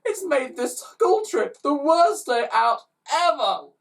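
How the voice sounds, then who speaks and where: A storyteller doing a bunch of posh schoolchildren, boys and girls, who wail and blubber in high, tearful voices that swing wildly up and down. They sound a little distant from the mic, as in a read-aloud children's storybook. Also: wildly swooping pitch, normal speed.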